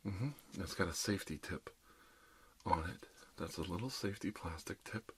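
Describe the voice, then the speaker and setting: A man playing a calm, reassuring dentist in an ASMR roleplay, speaking softly and often slipping into whispers. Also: low voice, normal speed. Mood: calm, explanatory. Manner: soft, often dropping into whispers, soothing. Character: calm reassuring dentist treating an undead patient. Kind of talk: ASMR roleplay, murmured one-sided dialogue. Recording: clear background noise, clicks.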